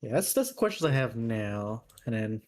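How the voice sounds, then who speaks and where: A man's voice: medium pitch, sing-song, normal speed. Casual, agreeable man with a mid-range voice. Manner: agreeable, informal. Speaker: tabletop game player. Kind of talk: casual chat.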